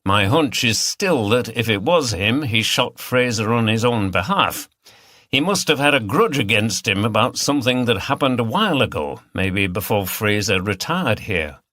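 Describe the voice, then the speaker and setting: A man voicing a thoughtful, brisk senior police detective in an audiobook, measured and authoritative. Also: on the low side, expressive, sharp tone, no room sound, studio quality.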